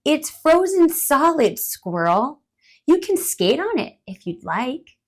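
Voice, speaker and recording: A woman's voice: sits high, big pitch swings, slow, voice pushed up, full character voice. A woman voicing a cheerful, playful bird in a picture-book reading, explaining things patiently in a sing-song way. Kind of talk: storybook read-aloud voice.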